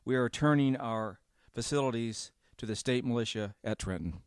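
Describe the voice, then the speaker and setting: A man playing a formal, measured radio network executive reading a statement in an old-time radio drama. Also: mid-range voice, smooth, no room sound, studio quality, natural voice. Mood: formal, grave. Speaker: actor in a radio drama re-creation. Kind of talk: 1930s radio drama acting.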